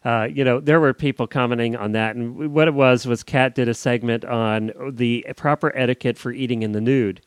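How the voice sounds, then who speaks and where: A man's voice: medium pitch, fairly even intonation, brisk, few pauses, clean tone, no echo. A man co-hosting a podcast in casual chat, with a jovial way of talking. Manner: jovial, often chuckling. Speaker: podcast host.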